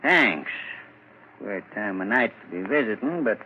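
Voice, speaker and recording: An actor playing an unhurried, folksy village constable who probes politely and casually, in old-time radio drama style. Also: male voice, on the low side, animated. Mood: politely probing. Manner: casual, folksy, drawn-out questioning. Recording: muffled old radio recording, some noise.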